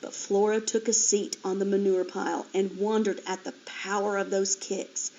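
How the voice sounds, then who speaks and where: A woman's voice: medium pitch, moderate pace. A woman teacher reading a children's chapter book aloud with expression. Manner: expressive, animated. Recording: dull lo-fi recording.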